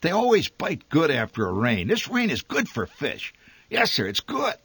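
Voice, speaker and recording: A man's voice: mid-range voice, big pitch swings, very slow, no echo, pitched above the performer's own voice, exaggerated voice. A man doing a bellowing, hot-tempered dad. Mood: cheerful, eager. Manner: shouting, cursing, exasperated. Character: blustering, short-tempered middle-aged father.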